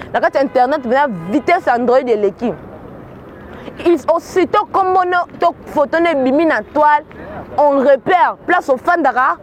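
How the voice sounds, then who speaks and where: A woman's voice: sits high, slight background noise. A woman from Kinshasa with a Congolese accent giving earnest spoken commentary, often repeating words as she searches for them. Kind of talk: spoken commentary mixing Lingala and French.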